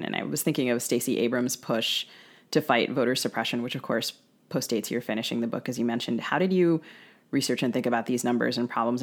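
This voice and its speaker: A woman co-hosting a podcast, setting out detailed, considered questions to a guest in a conversational way. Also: rumbling low. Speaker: podcast co-host. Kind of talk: prepared interview questioning.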